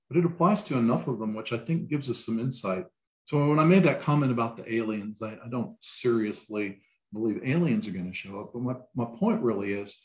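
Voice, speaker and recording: An American man speaking as an academic panelist, reasoning aloud in a measured, explanatory way with careful qualifications. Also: mid-range voice, a little mellow, roomy sound, rough recording. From United States. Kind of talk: explanatory discussion.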